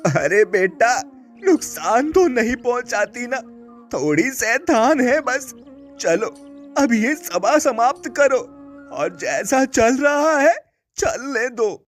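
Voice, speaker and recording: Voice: medium pitch, lively intonation, slow pace, faint music behind. A performer voicing a kindly village father in a cartoon, soothing and indulgent.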